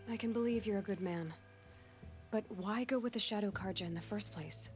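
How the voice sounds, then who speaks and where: Casting: woman as resolute young female hunter, medium-pitched, direct, earnest game-drama read over faint music. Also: a little fast, natural voice. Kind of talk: dramatic video game acting.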